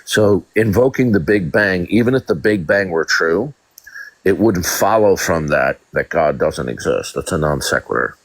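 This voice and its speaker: Male debate host, deep, dry close sound, combative and mocking, stutters on repeats. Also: lively intonation, normal speed, dry recording, poor audio. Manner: domineering, stammers repeated words, mocking. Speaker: live-stream debate host.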